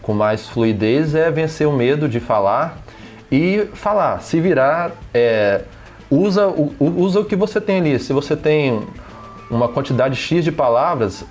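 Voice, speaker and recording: A Brazilian-accented man hosts a relaxed podcast conversation. He talks in a loose, halting way, often restarting and tagging his sentences, over faint music. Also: mid-range voice. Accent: Brazilian Portuguese. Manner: hesitant, frequent restarts and tag questions. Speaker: podcast host. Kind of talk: casual interview chat.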